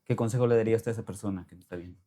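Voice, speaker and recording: A man's voice: fairly low pitch, steady tone, racing, dark tone. A man hosting a conversational interview show, asking long, winding questions. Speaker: host.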